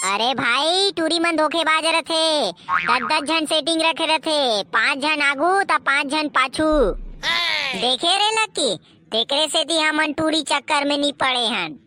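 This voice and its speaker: A man's voice: very high voice, lively intonation, unhurried, sharp tone, exaggerated voice. A man voicing a bossy, cynical young village buddy in a comedy cartoon, scolding and taunting in slang with a Chhattisgarhi accent.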